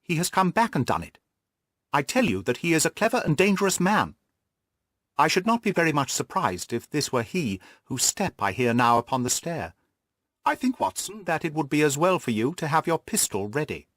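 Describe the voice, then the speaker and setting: A man voicing a shrewd, brisk detective who explains his deductions emphatically and gives orders in an audiobook. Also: fairly high pitch, very animated, a bit bright, no echo, studio quality, voice pushed up. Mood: excited, urgent. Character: shrewd male detective, brisk, commanding, analytical. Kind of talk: audiobook character dialogue.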